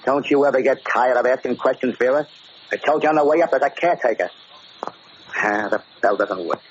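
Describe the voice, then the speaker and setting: A man's voice: mid-range voice, brisk, lightly acted. A man playing a short-tempered, paranoid American gangster boss in 1940s radio drama style, curt and sarcastic, barking orders. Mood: grumpy. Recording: muffled, noisy old radio broadcast.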